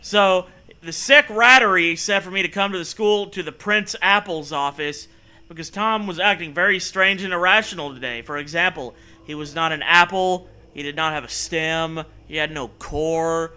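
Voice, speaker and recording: A man's voice: a little high, moderate pace, bright, forceful tone, smooth. A man hosting a comedy show, reading a story aloud mockingly and riffing in a sarcastic, profane, exclamatory way.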